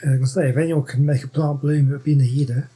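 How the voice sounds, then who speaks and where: Man commentating a game, wry jokes, very husky, dark voice. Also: medium pitch, steady tone, very fast. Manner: wry, joking asides. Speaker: let's play host. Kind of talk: casual gameplay commentary.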